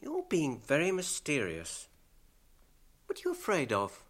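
An actor playing a younger, earnest and gentle hobbit with a British accent in a radio drama, speaking in a hesitant, questioning way. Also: male voice, a little high, wildly swooping pitch, a little slow, heavily acted. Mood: curious, puzzled.

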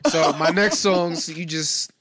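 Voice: mid-range voice, steady tone, unhurried, fairly bright tone, dry recording. A podcast co-host in casual music chat, laid-back and teasing, laughing often.

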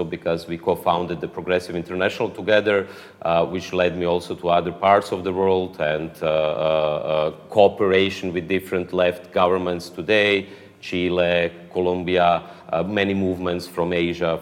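A man's voice: low-pitched, fairly even intonation, moderate pace, big-room sound. A man with a Croatian accent, speaking English as a second language in an interview, answering at length with many fillers and restarts. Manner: hesitant, frequent "uh" fillers and restarts. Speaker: interview guest, political activist.

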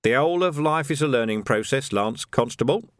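A dry-witted city watch sergeant from a storybook, wry and deadpan, as a male narrator puts him on. His delivery is lively, rising and falling. The recording is close and dry. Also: mid-range voice, brisk, no room sound, pitched above the performer's own voice, close to an everyday voice.

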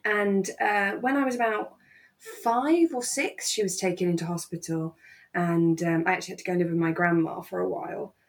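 Slightly low-voiced woman in reflective chat. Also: normal speed. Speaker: podcast co-host.

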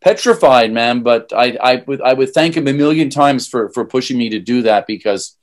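A man's voice: medium pitch, moderate pace. A man hosting a podcast who chats casually and backs up his guest with frequent agreement. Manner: supportive, lots of agreeing interjections. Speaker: podcast host and musician. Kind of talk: casual conversation.

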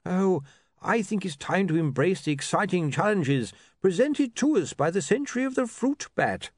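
An elderly, eccentric bursar from a comic storybook , acted out by a man. The voice sits high and swings about in a close, dry recording. He is fussy and halting, cheerfully lost in his own wandering thoughts. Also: big pitch swings, no echo. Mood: dotty, cheerful. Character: eccentric, befuddled elderly male university bursar, cheerfully dotty.

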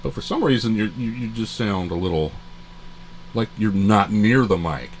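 Dry, teasing low-voiced man. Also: moderate pace, a little hiss or hum. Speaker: podcast co-host. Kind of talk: casual chat.

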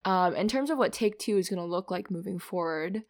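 A woman's voice: fairly low pitch, lively intonation, brisk. A woman in her twenties from Minnesota, co-hosting a casual podcast with dry, teasing humor. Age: 20s. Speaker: podcast co-host. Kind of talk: casual chat.

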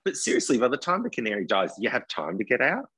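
A man's voice: mid-range voice, wildly swooping pitch, fast, fairly bright tone, breathy edge. A man with an Australian accent, chatting as a podcast guest, joking and poking fun at himself. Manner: joking, self-mocking, chatty. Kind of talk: casual chat.

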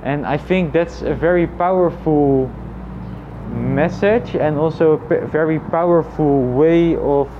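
A man thinking aloud in a casual, reflective personal vlog, with halting phrasing and frequent repetition. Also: a little high, highs cut off, noisy background. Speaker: vlogger.

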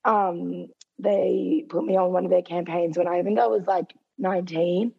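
A young woman chats freely in an interview, leaning on "like" in nearly every phrase. Her voice is husky and fading as she loses it. The recording sounds dull and lo-fi. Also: lowish voice, fairly even intonation, a little slow. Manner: chatty, heavy use of "like". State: losing her voice. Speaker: guest runner. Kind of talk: casual interview chat.

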